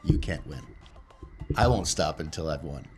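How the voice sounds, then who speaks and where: A man with a very low voice chats as a player in a tabletop role-playing game. His talk moves casually in and out of character, over background music. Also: lively intonation, normal speed, a little mellow, breathy edge.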